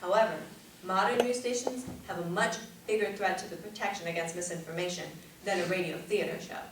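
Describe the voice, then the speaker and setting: A young adult woman delivers a rehearsed persuasive speech in a formal, deliberate, projected manner, as a competitive public speaker. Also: lowish voice, steady tone. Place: echoey indoor room at a speech tournament. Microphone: distant camera or room microphone. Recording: very echoey room, distant mic, faint noise.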